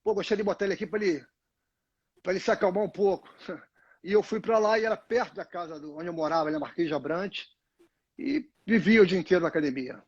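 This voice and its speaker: Husky older Brazilian man on a phone call. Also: sits high, fast. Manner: rambling storyteller, many restarts and "né?" tags. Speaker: guest, retired fighter. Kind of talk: casual live interview. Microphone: phone on a tripod. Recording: dull lo-fi phone audio.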